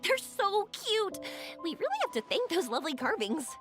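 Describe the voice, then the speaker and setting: A woman playing a cheerful, sentimental young fireworks maker in a video game, bubbly, expressive and earnest. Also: very high voice, normal speed. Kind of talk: animated video game voice acting. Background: background music.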